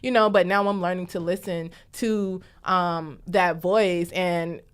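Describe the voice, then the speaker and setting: A woman's voice: fairly low pitch, steady tone, slow pace, clear, resonant tone. Young woman talking openly, full of fillers. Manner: casual, many "like" and "you know" fillers. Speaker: guest, author and brand consultant. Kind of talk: reflective conversational interview.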